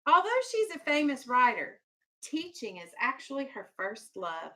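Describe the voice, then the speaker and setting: High, bright-voiced woman teaching young children. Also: sing-song, moderate pace. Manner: instructive, simple explanations, checks understanding with questions, firm with children. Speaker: elementary school teacher. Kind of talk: classroom read-aloud lesson with questions to young children.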